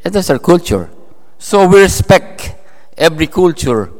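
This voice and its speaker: A man with a Filipino accent delivers a sermon at an unhurried, deliberate pace. He speaks in a halting way, often restarting phrases and leaning on fillers. His voice carries a long reverb, as from a church hall. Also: a little high, big pitch swings, a little mellow. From Philippines. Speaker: pastor.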